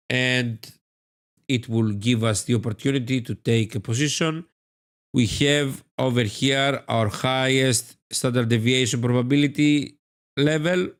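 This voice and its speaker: Slow, effusive Greek-accented man. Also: medium pitch. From Greece. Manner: effusive, repeats phrases, calls viewers "my beloved friend". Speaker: live-stream trading host. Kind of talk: live-stream commentary with chat reading.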